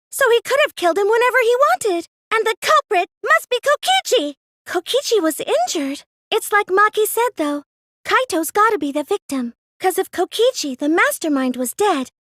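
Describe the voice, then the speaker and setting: A woman's voice: very high-pitched, a bit bright, no echo, clean studio sound. A woman playing a timid, earnest, nerdy teenage girl in an English video game dub, hesitant and prone to stammering when flustered.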